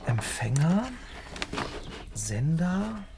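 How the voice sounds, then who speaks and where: An actor playing a secretive resistance radio operator in a naturalistic radio drama, understated and confiding. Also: male voice, on the high side, big pitch swings, very slow, vocal fry, very noisy, heavily acted.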